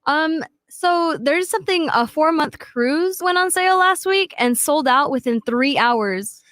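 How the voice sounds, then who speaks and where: Non-native English-speaking woman, news reader on a radio show, slightly high voice, dry studio. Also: lively intonation, unhurried, a bit bright, no room sound. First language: not English. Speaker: radio co-host reading the news. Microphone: studio microphone.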